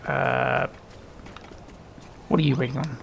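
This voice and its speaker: A man's voice: medium pitch, flat delivery, slow, gravelly, dull audio, a little hiss or hum. A man giving casual gameplay commentary, thinking aloud in loose fragments. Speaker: gaming video host.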